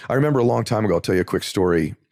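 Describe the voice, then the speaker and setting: Deep-voiced earnest man telling a story. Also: expressive, racing, darkish tone, no room sound, studio quality. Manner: earnest, builds to impassioned emphasis when telling a story. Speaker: guest. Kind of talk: conversational interview storytelling.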